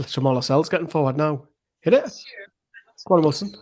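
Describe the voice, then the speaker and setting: A man co-hosting a live fan stream, calling the match and yelling at the screen, swearing freely. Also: fairly high pitch, brisk, husky. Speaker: fan channel co-host. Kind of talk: excitable live match commentary.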